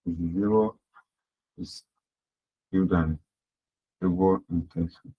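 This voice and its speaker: Low husky-voiced man lecturing online. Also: steady tone, slow pace, phone-quality sound. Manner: repeats points and asks rhetorical questions. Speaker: accounting lecturer. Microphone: online class audio.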